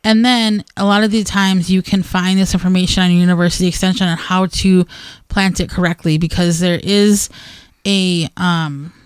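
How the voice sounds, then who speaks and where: A woman's voice: lowish voice, steady tone, normal speed, clean tone, no room sound. An American woman, middle-aged, co-hosting a radio show with casual, plain-spoken advice and hypothetical asides. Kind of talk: casual gardening advice chat.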